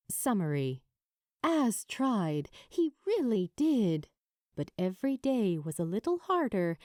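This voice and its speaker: A woman narrating fiction from a script in a measured, expressive storytelling manner. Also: medium pitch, dry recording, clean studio sound. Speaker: amateur audiobook narrator.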